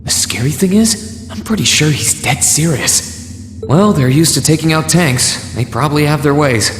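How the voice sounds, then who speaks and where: A man's voice: fairly high pitch, moderate pace, lightly acted. An actor playing a polite, loyal and resolute young male student in a video game, speaking sincerely. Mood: polite, curious. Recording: music behind the voice.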